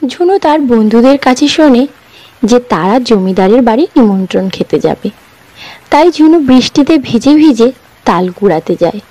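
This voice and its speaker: A woman's voice: fairly high pitch, lively intonation, moderate pace, dark tone, no echo, poor audio. A woman narrating a scripted children's story as the narrator.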